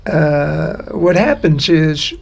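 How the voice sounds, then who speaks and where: Male storyteller, raised mid pitch, very slow delivery, gravelly texture. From United States. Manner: slow, unhurried and a bit halting. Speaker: guest storyteller. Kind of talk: personal storytelling.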